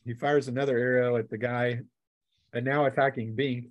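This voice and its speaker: A man narrates a tabletop game as its game master, with a medium-pitched voice recorded close and dry. His delivery is casual and playful, full of colloquial phrasing. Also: steady tone, normal speed, no room sound, dull audio.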